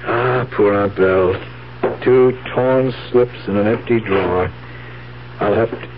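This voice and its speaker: Casting: greedy, two-faced nephew, low voice, cool schemer, vintage radio drama with muffled, noisy sound. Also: male voice, unhurried. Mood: muttering to himself. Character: scheming greedy nephew feigning grief, coolly calculating. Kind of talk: 1970s radio drama acting.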